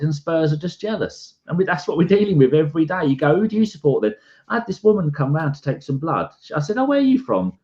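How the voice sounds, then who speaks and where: A man's voice: mid-range voice, highs cut off. A man from London co-hosting a football podcast, ranting and acting out conversations in casual chat. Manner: animated, ranting, acts out conversations. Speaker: podcast co-host.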